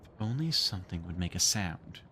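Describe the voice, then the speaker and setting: A man voicing a small, frightened young boy in a plaintive, hushed storybook read-aloud. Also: low-pitched, animated, brisk, slight background noise, full character voice. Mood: lonely, pleading.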